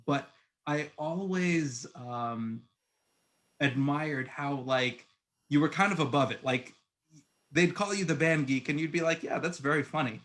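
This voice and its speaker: A young man hosting a podcast, telling stories in a loose, animated way with lots of restarts. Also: on the high side, a little slow. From born in Egypt, raised in Puerto Rico, Queens and Long Island. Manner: animated storytelling with frequent restarts and "like". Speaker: podcast host. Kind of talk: casual conversation.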